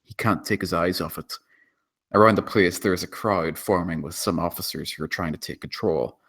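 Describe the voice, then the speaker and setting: A man's voice: low-pitched, fairly even intonation, moderate pace, fairly bright tone. A man narrating stage directions in a dramatic screenplay table read.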